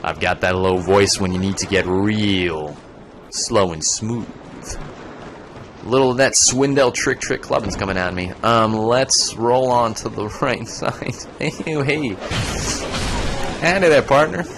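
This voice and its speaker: A man's voice: fairly low pitch, slow pace, a bit bright, slight background noise. A young man streaming a video game, chatting casually, rambling and joking as he plays. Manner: rambling, joking, breaks into goofy voices. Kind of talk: casual gaming commentary.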